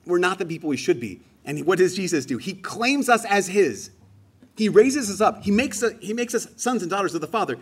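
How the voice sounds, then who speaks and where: A man's voice: high-pitched, lively intonation, a little fast, husky. A man giving a homily in a conversational, emphatic way, rising on key phrases. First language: English. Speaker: preacher.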